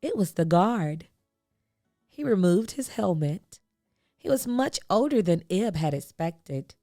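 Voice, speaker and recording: A woman reading a children's storybook aloud, expressively, with deliberate phrasing and dramatic pauses. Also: on the low side, very animated, warm tone, dry recording, clean studio sound, soft background music. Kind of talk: expressive picture-book read-aloud.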